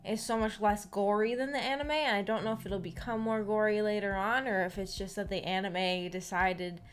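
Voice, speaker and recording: A woman's voice: mid-range voice, steady tone, fairly quick. A woman hosting a casual livestream book club, chatting informally with viewers in a giggly, rambling way with false starts. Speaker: livestream host of a visual novel book club. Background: background music.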